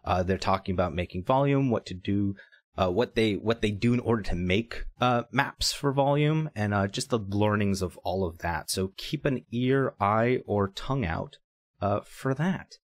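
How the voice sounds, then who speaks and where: Male podcast co-host, low voice, dry close mic, jokey and rambling. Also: moderate pace, dry recording. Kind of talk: casual podcast chat.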